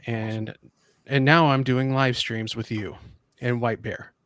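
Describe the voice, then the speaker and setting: Male guest reviewer, measured and reflective, mid pitch, dry sound. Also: fairly even intonation, a bit bright, clear, resonant tone, no echo. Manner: thoughtful, measured, searching for words. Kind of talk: casual discussion.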